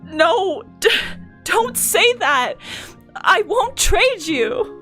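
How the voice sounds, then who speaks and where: A woman playing a desperate, devoted young woman in a dramatic fan scene, pleading with drawn-out, emotional words. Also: high voice, fairly bright tone, soft background music, pitched above the performer's own voice. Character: desperate, devoted young woman refusing to lose her friend.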